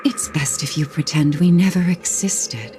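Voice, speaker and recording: A woman's voice: sits low, moderate pace, close to an everyday voice. A woman playing a regretful but firm mother in a game cutscene, grave and apologetic. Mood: sorrowful resolve. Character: regretful mother sending her daughter away, cold resolve. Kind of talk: dramatic game cutscene voice acting. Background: faint music.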